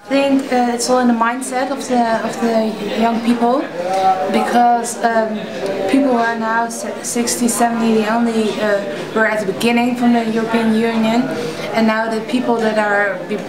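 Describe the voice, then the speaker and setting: A woman's voice: on the high side, little pitch movement, moderate pace. A woman, answering thoughtfully and correcting herself as she goes. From Tilburg, Netherlands. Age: 20s. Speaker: interviewee. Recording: distant mic, echo and loud crowd.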